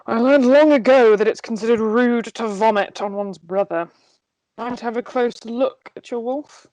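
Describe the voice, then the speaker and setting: A woman's voice: fairly high pitch, normal speed, a little mellow, dry recording, lightly acted, rough recording. Casting: woman as sardonic, world-weary drunken dwarf nobleman, blunt, fantasy script read, close dry sound. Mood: drunk, wry.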